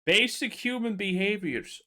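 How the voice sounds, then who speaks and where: A young co-host in a casual gaming chat, sarcastic and teasing, breaking in with loud exclamations. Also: mid-range voice, lively intonation, measured pace. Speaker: podcast co-host.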